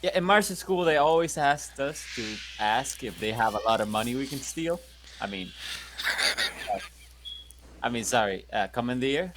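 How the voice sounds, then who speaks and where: A man's voice: mid-range voice, animated, unhurried, background noise. A man playing in a tabletop game, chatting casually and making playful jokes. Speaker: player.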